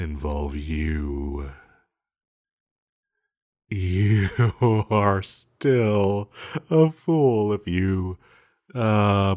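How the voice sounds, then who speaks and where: Man acting as banished ancient villain, male, deep-pitched, unhurried, seductive, possessive, teasing, intimate audio drama. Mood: longing, seductive. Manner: breathy sighs, lingering pauses, teasing.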